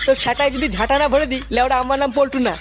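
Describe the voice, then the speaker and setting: Someone acting a high-pitched, hot-tempered cartoon club boss. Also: level tone, rapid-fire, noisy background, natural voice. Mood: angry, scolding. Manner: bossy, scolding, blustering. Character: hot-tempered, foul-mouthed young male village club leader. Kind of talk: crude comic cartoon dub.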